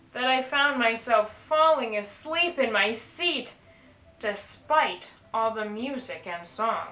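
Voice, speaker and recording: Bright-voiced woman reading slowly to children. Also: a little high. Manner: expressive, instructional, addressing young children. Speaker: teaching artist reading to children. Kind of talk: slow, enunciated children's read-aloud. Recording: echoey room, slightly dull sound.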